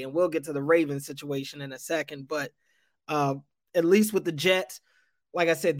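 Male sports reporter, measured breakdown style, dry close mic. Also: on the high side, dry recording. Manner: measured, stacks up points with restarts. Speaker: sports radio host and beat reporter. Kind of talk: casual sports analysis.

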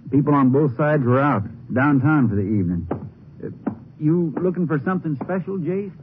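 An actor playing a folksy small-town sheriff with a husky voice and an in a 1950s radio drama. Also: male voice, on the high side, expressive, moderate pace, background noise, lightly acted. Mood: puzzled, folksy. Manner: folksy, plain-spoken. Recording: tinny, band-limited 1950s radio recording.

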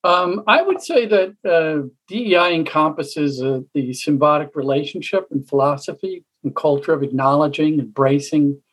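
A middle-aged woman speaking as a panelist, answering in a measured, thoughtful way with some halting pauses. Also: deep voice, slightly rough, low-quality recording.

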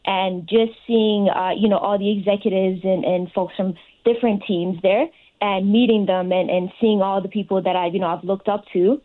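Young woman, mid-pitched mellow voice, interview guest, conversational. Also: steady tone, moderate pace, rough recording. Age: young adult. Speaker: interview guest, sports fellowship participant.

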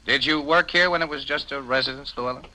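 A man's voice: medium pitch, quick pace, sharp tone, a little hiss or hum, low-quality recording. An American man playing a breezy, slangy crime photographer in an old radio drama. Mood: wry, breezy. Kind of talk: 1950 radio acting. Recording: old 1950 radio recording with music.

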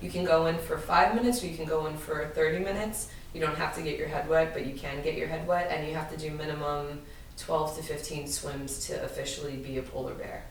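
A woman's voice: sits low, little pitch movement, a little fast. A young woman with a Brooklyn accent, chatting as a guest on a podcast and laughing often. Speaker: guest artist. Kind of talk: casual podcast chat. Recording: echoey room, distant mic, faint noise.

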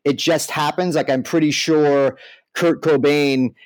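A man's voice: fairly high pitch, steady tone, measured pace, dry recording. A man co-hosting a podcast, moving between reading aloud and casual commentary. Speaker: podcast co-host.

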